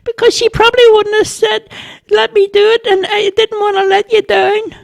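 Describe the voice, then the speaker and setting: Anxious little Irish boy, very high. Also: little pitch movement, moderate pace, creaky. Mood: hurried, apologetic. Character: timid young boy Charlie, eager and anxious.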